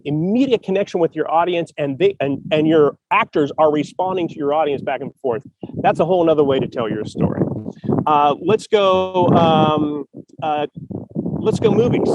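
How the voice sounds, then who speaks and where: A man's voice: on the high side, moderate pace, a little mellow, lo-fi sound, slight background noise. A man hosting a casual chat about writing, talking with enthusiasm and thinking aloud with many restarts. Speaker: podcast host.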